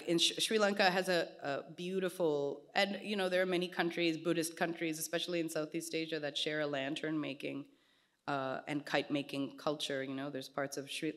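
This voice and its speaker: A woman in her thirties chatting on stage into a stand mic in a small indoor gallery space. She tells her stories with animation and puts on quick voices for the people she quotes. Her voice sits a little low. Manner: animated storytelling, gestures a lot, acts out quoted voices. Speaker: artist guest. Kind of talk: casual onstage conversation.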